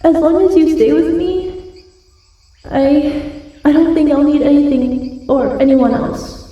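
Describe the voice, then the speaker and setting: A woman voicing a shy giantess wolf girl in a slow, hesitant ASMR roleplay. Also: high voice, fairly even intonation, mellow, muffled recording. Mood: playful, affectionate. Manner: slow, hesitant, stammering when flustered. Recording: heavily echoing, distant-sounding voice.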